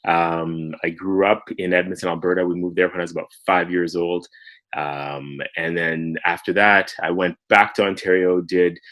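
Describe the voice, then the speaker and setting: Man, very low voice, canadian, relaxed storyteller, lots of ums. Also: normal speed. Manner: relaxed storytelling with many fillers. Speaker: guest. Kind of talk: casual interview.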